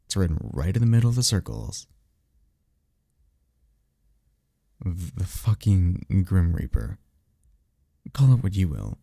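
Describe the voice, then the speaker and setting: A man's voice: deep voice, big pitch swings, moderate pace, creaky, dark tone, dry recording, clean studio sound, heavily acted. A man playing a cocky, easygoing vampire mentor and former archmage in a slangy, offhand audio roleplay, teasing and swearing casually. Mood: incredulous, pressing.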